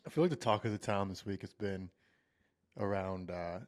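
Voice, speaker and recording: A man with a fairly low voice hosts a casual podcast. He keeps the talk loose and jokey, teasing his co-hosts before steering them to the topic. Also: moderate pace. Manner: loose, joking, leads the talk. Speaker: podcast host.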